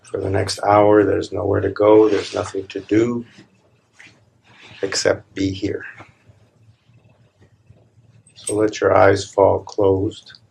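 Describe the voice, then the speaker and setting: A man leading a live guided meditation, speaking calmly and deliberately in short phrases with long pauses between them. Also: on the low side, vocal fry, husky. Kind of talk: live guided meditation, slow hushed instruction.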